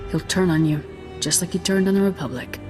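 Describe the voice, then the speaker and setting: A woman's voice: lowish voice, a little fast, lightly acted. Actress as a female game soldier, curt, cool, no-nonsense, music behind. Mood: cool, firm. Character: tough no-nonsense young female soldier, Republic lieutenant. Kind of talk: video game voice acting.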